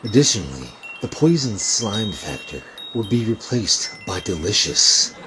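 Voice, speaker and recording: A man's voice: on the low side, very animated, unhurried, background noise. A man playing a literal-minded, over-analytical nonhuman science officer in a comedy radio drama, precise and clinical.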